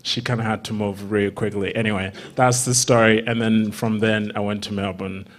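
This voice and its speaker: A man in his twenties from Rwanda, a comedian guest telling casual, humorous stories haltingly into a handheld stage mic. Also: lowish voice, flat delivery. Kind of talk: casual humorous storytelling in interview. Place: theatre stage before a seated audience. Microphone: handheld microphone.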